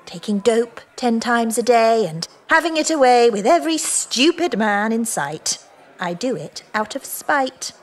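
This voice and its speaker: Lively, cynical British woman. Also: fairly high pitch, unhurried. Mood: provocative. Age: middle-aged. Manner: blunt, mocking, flirtatious. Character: brash, cynical, teasing woman of about forty. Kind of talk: audio drama dialogue.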